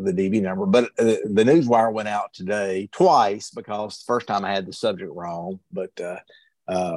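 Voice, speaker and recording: A man chatting casually in a halting, hesitant way as he shares group news. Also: fairly low pitch, no echo. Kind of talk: casual chat.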